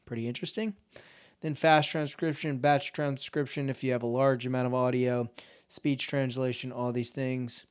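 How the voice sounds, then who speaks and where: A man explains a software tool in a loose, conversational tutorial. His voice is smooth and clear at a mid pitch. He talks informally and thinks aloud as he goes. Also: flat delivery, moderate pace. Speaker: tech tutorial host. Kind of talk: casual screen-share software walkthrough.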